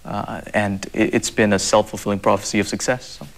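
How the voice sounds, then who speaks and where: Earnest young man with a low voice. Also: fairly even intonation, a little fast. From Zambia. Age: young adult. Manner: earnest, repeats words and uses many fillers. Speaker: guest. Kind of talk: interview answers.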